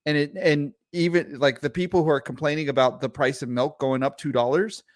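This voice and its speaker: A man's voice: fairly high pitch, fairly even intonation, a little fast, no echo, clean studio sound. Profane, heated male co-host. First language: Arabic. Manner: profane, heated, repeats words. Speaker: podcast co-host. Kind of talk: casual livestream commentary.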